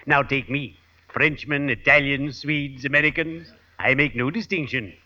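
An actor playing a courtly, morbidly gleeful undertaker with a formal, measured deadpan delivery in old-time radio comedy style. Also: male voice, medium pitch. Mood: solemn, deadpan. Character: gleefully morbid undertaker, courtly, solemn, pun-loving man. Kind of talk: 1940s-50s radio comedy acting. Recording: dull noisy old radio recording with clicks.

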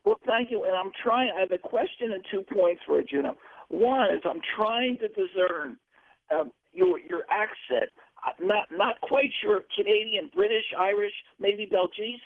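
A man's voice: high-pitched, moderate pace, no room sound. A man calling in to a radio show, effusive and rambling, stumbling over his words. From Pennsylvania. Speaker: caller. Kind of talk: call-in radio question. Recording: dry tinny phone line.

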